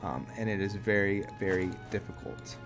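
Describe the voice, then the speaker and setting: A man's voice: lowish voice, level tone, normal speed. A man giving casual, hesitant gameplay commentary, thinking aloud as he goes. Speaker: gaming video host. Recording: background music under close commentary.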